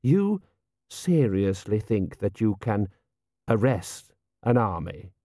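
A man voicing a proud, contemptuous prince, haughty and measured, in a storybook reading. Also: lowish voice, big pitch swings, dark tone, no echo, studio quality, full character voice. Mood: scornful. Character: proud, imperious, contemptuous foreign male prince.

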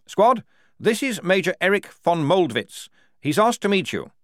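A man voicing a flustered, eager young lieutenant for an audiobook, pitched high and stammering. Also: sing-song, a little slow, fairly bright tone, dry recording, studio quality, exaggerated voice.

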